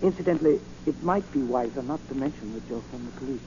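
An actor playing a proper, deferential, anxious butler in a 1940s radio drama. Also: male voice, mid-range voice, fast, close to an everyday voice. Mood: earnest. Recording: noisy, muffled 1940 radio recording.

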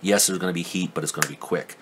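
A man's voice: low voice, fairly even intonation, quick pace, heavy background noise. A man giving a casual hands-on tool review at his workbench, rambling and skeptical, full of ums and restarts. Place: indoor workbench.

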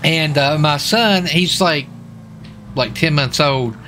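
Young man, bright forceful tone, casual gaming chat, rambling and repetitive, light background noise. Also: a little high, slow. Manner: rambling, repeats himself. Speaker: livestreamer and role-player.

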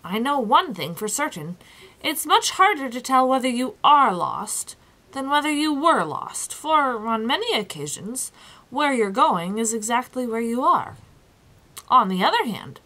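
A woman's voice: high voice, very animated, unhurried, pushed and bright, pitched above the performer's own voice, heavily acted. A woman voicing a proud, cheerful, fussy ordinary-sized man in a storybook, with an emphatic, self-important manner. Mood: pondering.

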